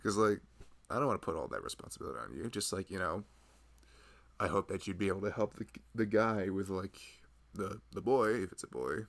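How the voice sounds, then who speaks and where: A man's voice: low-pitched, brisk. A man playing a loving, easygoing husband and nervous expectant father in an intimate ASMR roleplay, rambling casually and hesitantly. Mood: rambling, earnest. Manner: rambling, self-amused, hesitant, often chuckling.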